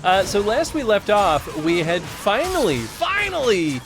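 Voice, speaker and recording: A man's voice: high voice, big pitch swings, a little slow, loud music behind the voice. A young man streaming a game he plays, chatting casually, teasing and laughing often. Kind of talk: casual gaming stream chat.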